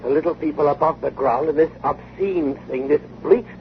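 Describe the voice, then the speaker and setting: A man's voice: a little high, normal speed, lightly acted. An actor playing an intense, restless male inventor, with insistent theatrical delivery in an old-time radio drama. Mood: grim, excited. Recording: noisy, muffled vintage radio recording.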